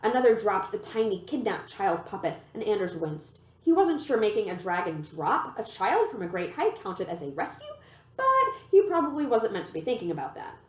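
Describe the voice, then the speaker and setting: A woman reading a children's novel aloud in an expressive, storytelling manner. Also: medium pitch, moderate pace. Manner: animated storytelling, acts out the dialogue. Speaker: library reader of children's books. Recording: echoey room.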